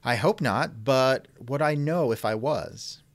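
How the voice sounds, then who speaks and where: A man's voice: medium pitch, takes it slowly, no room sound. Dry, sardonic male podcast host. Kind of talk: scripted podcast interview reenactment.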